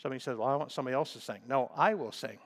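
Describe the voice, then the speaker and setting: A man preaching a sermon with emphatic delivery, often clearing his throat, his voice a little crackly. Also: medium pitch, racing, fairly bright tone. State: slightly crackly voice. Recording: long reverb of a large hall.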